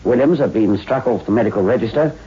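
An actor playing a brisk, wryly amused London police detective in a 1960s radio drama. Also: male voice, medium pitch, mellow, husky. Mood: brisk explaining. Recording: muffled, hissy 1960s radio recording.

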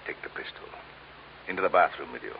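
Very deep, gravelly, pompous crime boss. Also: male voice, expressive, quick pace, exaggerated voice. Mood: smug, commanding. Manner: pompous, florid, mock-courteous. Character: pompous, wordy, portly male crime boss with a pistol-toting henchman. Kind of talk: 1940s radio acting. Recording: muffled old radio recording, faint noise.

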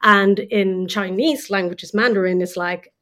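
A woman's voice: mid-range voice, fairly even intonation, a little slow, a bit bright. A woman from Sweden, a native Swedish speaker, explaining ideas as a guest author in a casual podcast chat with frequent fillers. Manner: thoughtful, explains with hesitant fillers.

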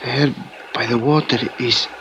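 An actor playing a cautious, deferential Mexican helper to a detective, with a Mexican accent, in a 1950s radio drama. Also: male voice, medium pitch, steady tone, unhurried, dull audio, natural voice. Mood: wary. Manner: polite, hesitant, careful. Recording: noisy old 1950s radio recording.

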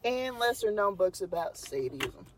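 A woman's voice: medium pitch, sing-song, unhurried. A young woman with a Texan accent, casually telling a true crime story as a podcast co-host, laughing often. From Texas. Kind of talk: casual chat.

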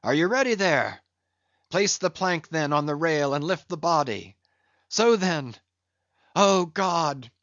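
A man's voice: on the high side, expressive, slow pace, a bit bright, no echo, lo-fi sound, voice pushed up, heavily acted. A man voicing a grieving, bitter whaling captain in an audiobook, with solemn, archaic, declamatory delivery.

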